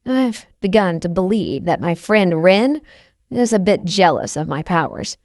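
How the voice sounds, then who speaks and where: A woman's voice: fairly low pitch, animated, measured pace, a little mellow, no room sound, studio quality. A woman reading a first-person novel aloud as an audiobook narrator.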